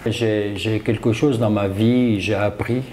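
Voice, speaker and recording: A man's voice: on the low side, fairly even intonation, unhurried, mellow. Man recounting, faint background noise. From Côte d'Ivoire. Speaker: interview guest, self-taught property developer. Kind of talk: personal storytelling in a TV interview.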